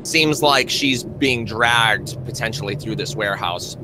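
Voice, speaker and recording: A man narrating a tabletop roleplaying game as game master, improvising in a halting, conversational way. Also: lowish voice, over music, poor audio. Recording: live stream with clear background noise.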